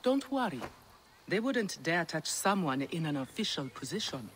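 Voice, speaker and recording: A woman playing a composed, defiant mother and official in a video game, measured and reassuring. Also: deep voice, very animated, slight background noise. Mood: calm defiance. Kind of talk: dramatic video game acting. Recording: music under the voice.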